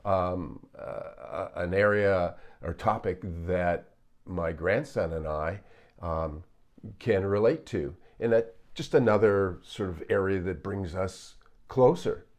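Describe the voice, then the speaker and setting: This is an older man with a very deep voice, talking slowly and deliberately. He speaks as a psychologist commenting on clips, stopping often to pause and fill gaps with fillers. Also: darkish tone. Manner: slow, measured, with frequent pauses and fillers.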